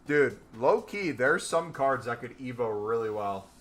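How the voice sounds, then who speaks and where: A man's voice: medium pitch, animated, a little slow, a bit bright, faint music behind. A young man in casual live-stream commentary, laid-back and talking to his chat from a small room. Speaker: trading streamer. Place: small room indoors, gaming chair.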